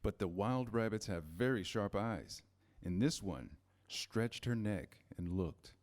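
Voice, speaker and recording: A man reading scripted storybook narration in a measured, storytelling manner. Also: fairly low pitch, expressive, darkish tone.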